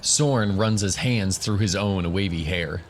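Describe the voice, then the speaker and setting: A man's voice: low voice, unhurried, a little hiss or hum. A man narrating a fantasy tabletop story as game master, in a scripted third-person storytelling style.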